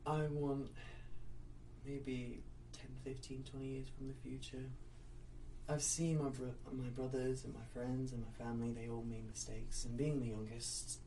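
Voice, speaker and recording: A young man with a British-sounding accent, speaking as a guest in an easygoing chat. He is earnest and reflective, with a dry, wry streak. His voice sits at a middling pitch and sounds set back from the mic, with a faint hiss underneath. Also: level tone, measured pace, mellow. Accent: British-sounding, taken for Northern English. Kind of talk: casual interview chat. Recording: distant mic with faint noise.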